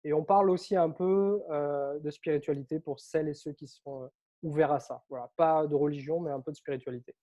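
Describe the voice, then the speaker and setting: A man who grew up on Réunion Island, telling his story as an interview guest in a lively, chatty way with frequent fillers. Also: a little high, brisk, lo-fi sound. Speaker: interview guest, author and coach.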